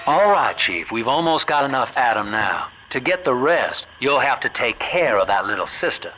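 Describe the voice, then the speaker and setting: A man's voice: medium pitch, very animated, moderate pace, bright, forceful tone, soft background music, rough recording. A man playing a folksy, scheming businessman with an American Southern accent, guiding the player in a video game with avuncular salesman patter. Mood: brisk, urging. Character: folksy, scheming male businessman, smooth opportunist guide. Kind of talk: video game voice acting, radio guidance.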